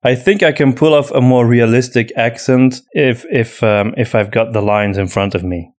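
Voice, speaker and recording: A man co-hosting a podcast, a native Flemish Dutch speaker with an accent between British and American, improvising casual talk in halting, pieced-together sentences. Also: medium pitch, darkish tone. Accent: mixed European, between British and American. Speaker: podcast co-host and voice actor.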